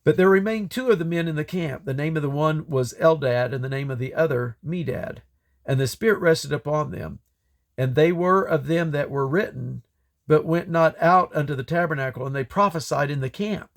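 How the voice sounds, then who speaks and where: Gravelly man reading scripture aloud. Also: fairly high pitch, normal speed. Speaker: Bible reader. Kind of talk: scripture reading, King James English.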